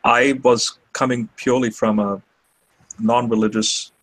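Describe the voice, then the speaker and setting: A man's voice: medium pitch, level tone, measured pace, a bit bright, no echo, poor audio. An Australian man who took part in a debate, talking modestly over voice chat with many restarts. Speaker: debate participant. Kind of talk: casual voice-chat discussion. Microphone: online voice chat.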